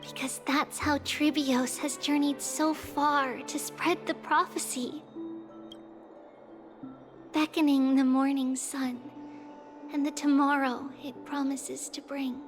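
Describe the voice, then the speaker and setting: A woman voicing a gentle, childlike prophet girl in a video game, with a solemn, poetic and hopeful delivery. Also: high-pitched, level tone, slow, over music. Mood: solemn, soothing. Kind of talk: scripted video game dialogue.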